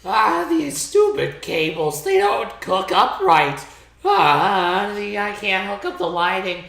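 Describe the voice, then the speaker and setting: A woman's voice: medium pitch, sing-song, takes it slowly, gravelly, a bit bright. A woman playing a tabletop game, talking in character in a whiny, grumbling way. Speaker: player. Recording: roomy echo.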